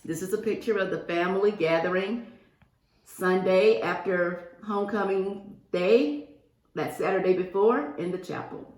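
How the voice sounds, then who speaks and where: Casting: female storybook reader for young kids, medium pitch, expressive and direct, recorded with noticeable room echo. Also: measured pace. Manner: expressive, addressing children directly. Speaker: guest picture-book reader for a school class. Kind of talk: read-aloud storytelling to young children. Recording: roomy, echoing virtual-reading audio.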